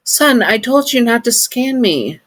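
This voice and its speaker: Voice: medium pitch, animated, measured pace, a bit bright, pitched above the performer's own voice. A storyteller voicing a young woman close to tears, who speaks in a small, shaky, self-doubting way. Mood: despairing.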